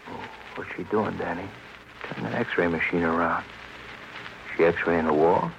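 Gravelly, puzzled cop sidekick. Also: male voice, low voice, unhurried. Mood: curious, alert. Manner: plain-spoken, hesitant, questioning. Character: slow-witted, puzzled male police sidekick. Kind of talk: 1950s radio crime drama acting. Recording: muffled old radio, faint hiss.

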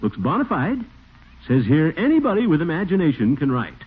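Pompous middle-aged American blusterer, gullible and easily flustered, wildly swooping delivery, tinny hissy vintage radio comedy. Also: male voice, fairly high pitch, wildly swooping pitch, fairly quick, husky, heavily acted. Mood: self-satisfied. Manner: blustering, chuckling, self-important. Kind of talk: 1940s-50s American radio comedy acting.

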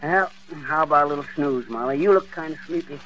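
An actor playing a flustered, blustering American husband with a folksy, stammering manner, in 1940s radio comedy style. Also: male voice, fairly high pitch, fast, fairly bright tone, close to an everyday voice, poor audio. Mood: drowsy, chatty. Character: flustered, blustering husband, a fast-talking bumbler.

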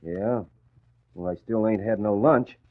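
A man's voice: on the low side, measured pace, natural voice. An actor playing a wisecracking, grumbling working-class newspaperman in 1940s radio drama, with streetwise slang and a sardonic way of talking. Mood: matter-of-fact storytelling. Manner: sardonic, colloquial. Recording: muffled vintage radio recording.

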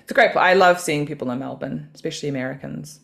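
A woman's voice: sits low, quick pace, gravelly, a bit bright. A woman from New Zealand, a comedian and podcast guest, talking in a chatty, self-deprecating way and laughing often. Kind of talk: casual podcast interview.

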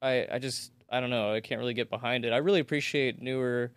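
A man's voice: medium pitch, fairly even intonation, quick pace, a little hiss or hum. A man with an Oklahoma accent, a comedian guest on a podcast, chatting casually and rambling with lots of fillers and restarts.

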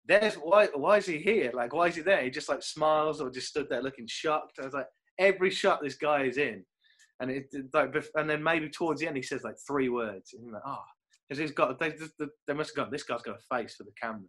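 A young man from Britain chats loosely about acting, jumping between half-finished thoughts and mimicking what people said. His voice sits a bit higher than most men's. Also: fairly quick, fairly bright tone. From United Kingdom. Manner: rambling, laughing, acts out quotes. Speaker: actor guest. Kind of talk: casual chat.

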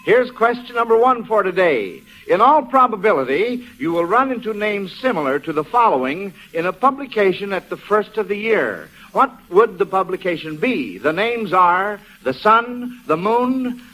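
A male American quiz host from 1940s radio, with a high voice. He talks in a folksy, encouraging way, chatting easily between questions, and the sound is that of a vintage broadcast. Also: sing-song, normal speed, hardly any pauses, bright, forceful tone, poor audio.

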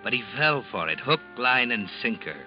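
An actor playing an ominous, insinuating narrator who taunts a guilty schemer in old-time radio suspense style. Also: male voice, medium pitch, big pitch swings, moderate pace, natural voice. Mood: ominous, taunting. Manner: insinuating, taunting second-person address. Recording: muffled old radio recording with loud music.

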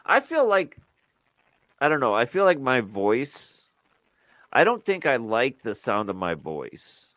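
A man's voice: mid-range voice, huge pitch swings, slow, a bit bright, no echo. A man co-hosting a podcast, chatting casually and rambling with lots of fillers and restarts. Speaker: podcast co-host. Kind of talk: casual chat.

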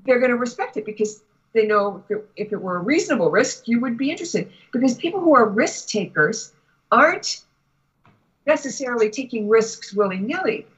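A woman giving a spiritual reading to a client in halting, deliberate phrases with long pauses. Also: a little high, animated, slightly rough, dull audio. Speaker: Akashic Records reader, guest.

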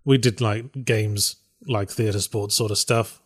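A man's voice: steady tone, a little slow, slightly rough, dry recording. A man, co-hosting a casual chat with dry, self-deprecating humor. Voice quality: deep voice. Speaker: podcast co-host.

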